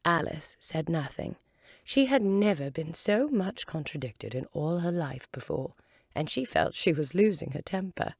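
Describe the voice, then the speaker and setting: A woman's voice: low-pitched, expressive, a little slow, slightly rough, dry recording, clean studio sound. A woman narrating a children's story expressively as a volunteer reader.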